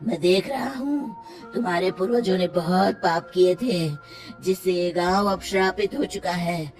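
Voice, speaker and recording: A solemn old village exorcist, voiced by a woman who hands down grave, slow pronouncements. The voice sits lower and quieter than usual, with soft music behind it in this cartoon. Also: lightly acted. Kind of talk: cartoon voice acting.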